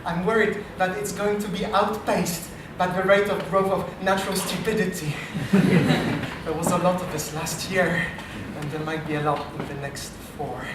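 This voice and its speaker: A man with a French accent, an AI researcher hosting a live improv comedy show, talking in a dry, self-deprecating way with halting restarts. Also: high voice, steady tone, background noise. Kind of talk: live comedy stage talk. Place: live comedy meetup venue. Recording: distant, very echoey live venue.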